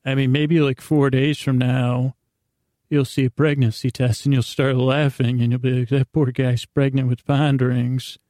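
A man hosting a bedtime podcast in a rambling, improvised monologue, halting and meandering with stammered restarts, self-deprecating and gently reassuring, in a gravelly voice. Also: medium pitch, level tone, dry recording, studio quality. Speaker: podcast host.

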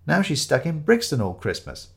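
Wry, highly animated male story narrator. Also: moderate pace, creaky. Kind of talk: scripted comic murder mystery narration.

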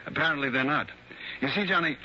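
An actor playing an excitable, chatty male insurance manager in 1960s radio drama, with eager, folksy talk. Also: a little high, fast talker, lightly acted. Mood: confiding. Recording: dull, hissy vintage radio recording.

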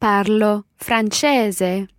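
A woman's voice: mid-range voice, drawn-out words, dry recording, studio quality. A woman co-hosting a language lesson as the learner, carefully repeating each phrase. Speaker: co-host learner.

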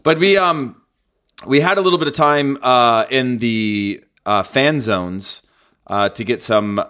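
A man's voice: medium pitch, a little slow, fairly bright tone, clear, resonant tone. Teasing, argumentative male host. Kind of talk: casual chat.

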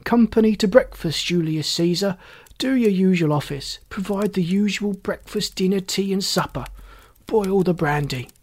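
A narrator performs a drunken, ragged man in an audiobook story, hoarse and mocking. He calls out loudly in a raised pitch, dragging and repeating his words with wild insistence. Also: close to an everyday voice. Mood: fierce, insistent. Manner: loud, drawn-out, repetitive calls.

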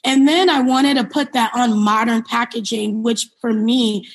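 Higher-voiced woman, reflective interview guest. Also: fairly even intonation, a little slow, vocal fry. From born in Liberia, grew up in New York City. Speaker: interview guest, company founder and CEO. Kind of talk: conversational interview answers, personal storytelling.

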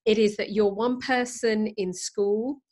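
British woman, podcast host, medium pitch, casual, agreeing, self-correcting. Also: fairly even intonation, measured pace, darkish tone. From United Kingdom. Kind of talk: casual conversational interviewing.

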